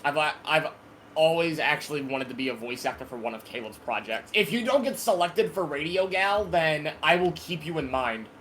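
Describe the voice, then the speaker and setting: A man's voice: on the high side, lively intonation, normal speed, a bit bright, slight background noise. A man hosting a casual live call, talking hesitantly and repeating himself with a friendly tone. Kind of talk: casual live-stream talk.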